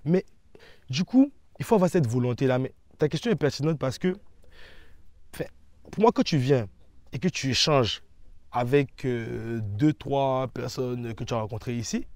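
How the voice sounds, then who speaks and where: A man's voice: medium pitch, darkish tone, dry recording. A man answering in a conversational interview, explaining his views and often checking that the listener follows. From Ivory Coast. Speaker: interview guest.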